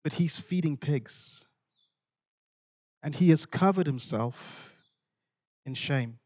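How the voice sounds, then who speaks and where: High-voiced man preaching. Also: a little mellow. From Zimbabwe. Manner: dramatic, deliberate phrasing with repetition for emphasis. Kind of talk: expository sermon with storytelling.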